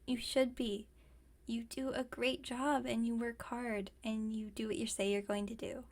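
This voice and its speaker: Casting: female performer for young werewolf roommate in comfort ASMR, higher-pitched, teasing and caring, rambles through jokes, gently bossy. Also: little pitch movement, a little slow. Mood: gently reassuring. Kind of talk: ASMR roleplay, intimate comforting monologue.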